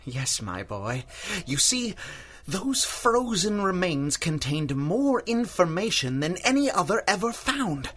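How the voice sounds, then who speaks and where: A man's voice: high-pitched, very animated, slow pace, a bit bright, husky, exaggerated voice. A man playing an obsessed older scientist in a radio drama, formal and patronizing in manner, courteous yet ruthless. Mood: intense, lecturing. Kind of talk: 1930s-style radio drama acting.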